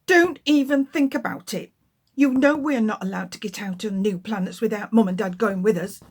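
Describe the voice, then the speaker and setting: A woman's voice: medium pitch, normal speed, natural voice. Woman reading as a bossy alien big sister. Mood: stern. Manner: stern, scolding.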